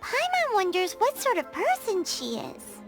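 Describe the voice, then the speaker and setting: A woman voicing a tiny, childlike female fairy companion in a game, squeaky, curious and blunt. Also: huge pitch swings, a little slow, music in the background, full character voice. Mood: musing. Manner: speaks of self in third person. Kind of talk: English game dub cutscene acting.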